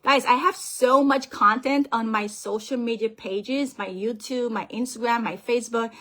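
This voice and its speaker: Upbeat woman, higher voice. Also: moderate pace. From Guyana. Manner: lively, keeps checking in with the listeners. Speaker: financial planner and author. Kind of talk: motivational finance talk. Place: on a call.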